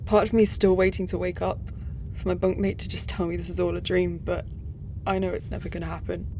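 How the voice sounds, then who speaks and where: A woman's voice: fairly low pitch, level tone, fast talker, creaky, noisy background. A woman playing an earnest, displaced human space traveller in a science-fiction audio drama. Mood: troubled, frustrated. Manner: earnest, confiding.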